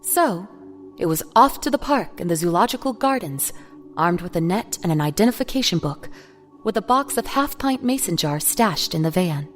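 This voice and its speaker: A woman narrates a scripted audio drama in a slightly low voice. Music plays clearly beneath her storytelling. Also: expressive, normal speed.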